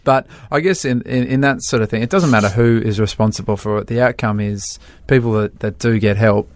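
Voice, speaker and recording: A man co-hosting a radio show, easygoing and quick to laugh and agree. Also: lowish voice. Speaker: co-host. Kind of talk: casual radio chat.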